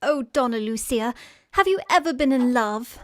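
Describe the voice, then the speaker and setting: Farce role: woman playing a sweet British girl in love, effusive and imploring, high-pitched with swooping delivery. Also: lively intonation, moderate pace, no room sound, clean studio sound, close to an everyday voice. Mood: pleading. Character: sweet, gushing young Victorian girl in love. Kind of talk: Victorian stage farce, radio play acting.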